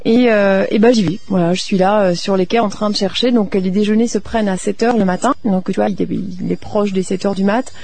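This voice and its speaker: Female radio reporter from France. Brisk, fry-edged voice, informal chatty storytelling. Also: mid-range voice, darkish tone. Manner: informal, chatty, addresses listeners directly. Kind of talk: casual spoken storytelling and interviewing.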